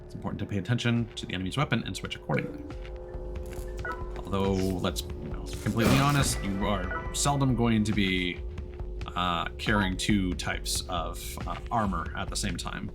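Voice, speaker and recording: A man streaming a video game, reading instructions aloud carefully and adding relaxed asides. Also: sits low, moderate pace. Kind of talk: let's play commentary, reading on-screen text aloud. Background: game music.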